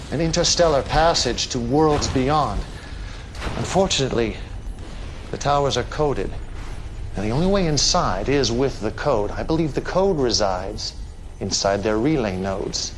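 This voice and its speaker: An actor playing a calm, menacing and grandiose cult leader in a science fiction game cutscene, speaking in a measured, theatrical, deliberate way. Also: male voice, medium pitch, slight background noise, lightly acted. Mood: calm explaining.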